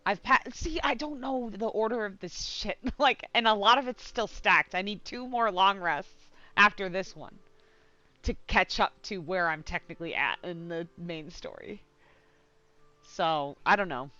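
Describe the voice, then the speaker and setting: A woman doing loose, casual live commentary on a game. Her voice is mid-pitched and bright, and she rambles informally into a close, very dry microphone. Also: measured pace, dry recording, lo-fi sound. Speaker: game streamer.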